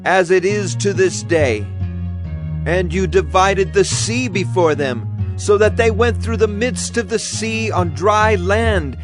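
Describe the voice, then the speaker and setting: A Levite in a scripture audio drama, reciting sacred history to God. His high voice is reverent and declamatory, with music clearly behind it. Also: slow. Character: male Levite recounting sacred history in prayer.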